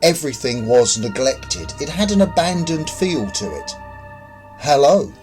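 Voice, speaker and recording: A man's voice: fairly high pitch, sing-song, normal speed, a little mellow, soft background music. A man reading a scripted short story as its author and narrator.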